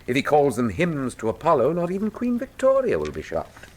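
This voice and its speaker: An actor playing an urbane, self-assured British detective in a radio drama, suave, theatrical and dryly ironic. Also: male voice, on the high side, wildly swooping pitch, a little fast, a little mellow.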